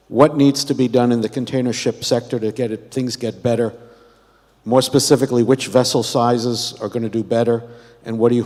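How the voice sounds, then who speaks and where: A man interviewing a guest on a conference stage, with a middling pitch and a crisp, direct way of putting his questions. The sound carries a long reverberant tail from the hall. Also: a little mellow. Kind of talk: prepared panel questions.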